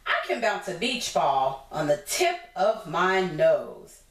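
A woman's voice: fairly low pitch, very animated, slow. An American woman in her thirties reading a picture book aloud to young children as a teacher, encouraging them and asking simple questions. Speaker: preschool teacher. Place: small indoor room against a plain decorated wall. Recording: roomy echo, distant mic, clear background noise.

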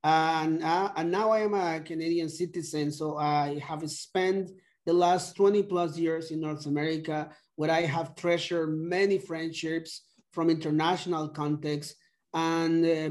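Colombian guy on a video call, kinda high voice, easygoing intro. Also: a little mellow. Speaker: panelist, moderator. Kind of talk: academic conference presentation.

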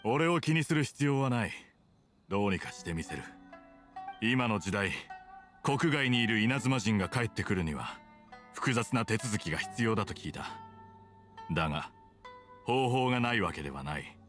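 An actor playing a stern, conflicted soldier with hidden kindness, speaking in a measured, blunt way in a video game dub. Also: male voice, fairly low pitch, expressive, fairly bright tone, soft background music. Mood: firm, resolute. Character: stern, conflicted male soldier, weary but secretly kind. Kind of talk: Japanese game dub acting.

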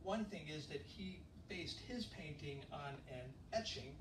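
A middle-aged pastor gives a relaxed, chatty sermon, tossing questions to his congregation. His voice sits a bit high for a man. It was recorded from the back of an echoey church hall, with a steady room hiss behind it. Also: unhurried, far from the mic. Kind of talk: conversational sermon. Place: church fellowship hall, indoors. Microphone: handheld mic, filmed from far back.

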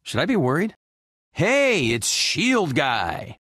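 A man's voice: fairly high pitch, huge pitch swings, takes it slowly, bright, forceful tone, husky, dry recording, clean studio sound, full character voice. A man voicing a cocky, goofy space outlaw hero in a video game, with flippant, sarcastic, playful swagger. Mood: teasing. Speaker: game character voice actor. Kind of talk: short video game voice lines, quips.

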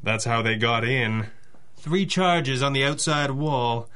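A masked male vigilante who leads the investigation in a 1930s-style radio serial. He sounds mid-pitched and measured. His manner is dry, analytical and a little mysterious. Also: a bit bright, smooth. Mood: dry, businesslike.

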